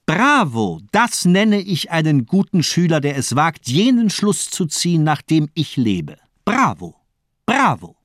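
An actor playing a fanatical, cold-blooded doctor and torturer in a crime radio play, declaiming in a grandiose, rhetorical way. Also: male voice, a little high, huge pitch swings, a little slow, a bit bright, no echo, clean studio sound, full character voice. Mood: exultant. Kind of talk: dramatic radio play monologue.

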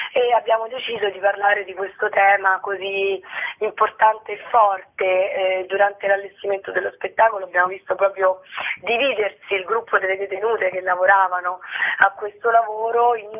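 A woman explains her work in a radio interview, choosing her words reflectively. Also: medium pitch. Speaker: theatre director being interviewed. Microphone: telephone. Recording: narrow tinny phone line.

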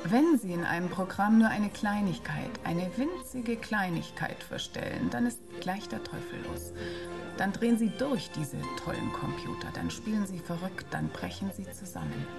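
An actress in a German radio play playing a bitter, defiant employee, speaking in an emphatic, confiding way. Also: female voice, mid-range voice, very animated, moderate pace, creaky, loud music behind the voice. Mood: sardonic, gleeful. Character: bitter, defiant, conspiratorial female theme-park employee who hates computers.

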